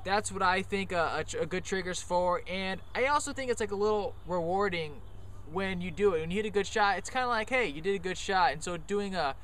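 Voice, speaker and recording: Young guy with a high voice doing a chill golf lesson outside, jokey and rambling, faint outdoor noise behind. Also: fairly quick, fairly bright tone. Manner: loose, joking, chatty with many fillers. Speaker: golf coach. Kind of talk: casual golf lesson on the course.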